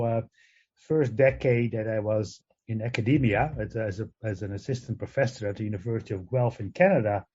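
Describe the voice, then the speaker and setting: An older man from the Netherlands with a Dutch accent, a professor answering interview questions, pausing often with fillers and restarts. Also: medium pitch, dark tone, husky. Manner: hesitant, many fillers and restarts. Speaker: guest, professor of animal genetics. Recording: dull lo-fi sound.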